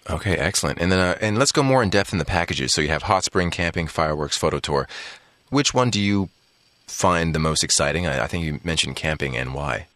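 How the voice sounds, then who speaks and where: A man's voice: deep voice, expressive, a little fast, fairly bright tone, no echo. A man hosting an interview, asking friendly questions with a few "uh" fillers. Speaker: interviewer, host.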